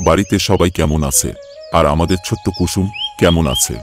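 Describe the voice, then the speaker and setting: A man's voice: sits low, steady tone, a little fast, faint music behind, close to an everyday voice. A man playing a kindly elderly village uncle in a cartoon, affectionate and neighbourly. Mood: affable. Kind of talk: Bengali cartoon voice acting.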